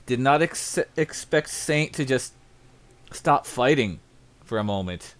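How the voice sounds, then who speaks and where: A man's voice: mid-range voice, expressive, takes it slowly. A man chatting casually and informally, reacting to a story and often repeating words as he goes. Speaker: guest listener giving reactions. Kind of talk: casual chat.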